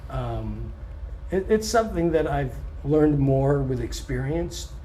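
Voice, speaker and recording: A touring blues singer and guitarist with a scratchy voice, answering interview questions in a relaxed, thoughtful way and often pausing on "um". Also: male voice, mid-range voice. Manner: relaxed and thoughtful, many ums, restarts now and then.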